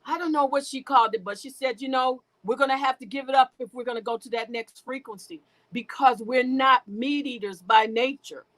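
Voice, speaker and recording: A middle-aged woman candidly telling her life story as an interview guest, halting and restarting, often laughing. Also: high-pitched, fairly bright tone, low-quality recording.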